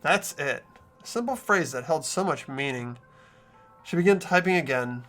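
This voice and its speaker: Man as an earnest teenage boy, bright and lively. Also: a little high, normal speed, soft background music. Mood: solemn narration. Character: earnest, soft-hearted teenage boy, the narrating hero. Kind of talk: amateur fan voice acting, narration and dialogue.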